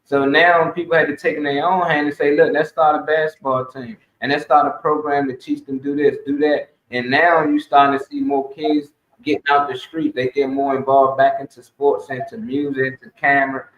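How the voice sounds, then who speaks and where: Echoey, high-voiced man talking emphatically. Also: level tone, normal speed, hardly any pauses. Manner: emphatic, exhorting, colloquial, swearing. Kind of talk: casual opinionated community talk. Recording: dull, echoey room sound.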